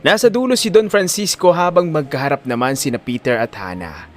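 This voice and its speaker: A man's voice: a little high, brisk, soft background music. A man with a Filipino accent reading scripted, dramatic story narration.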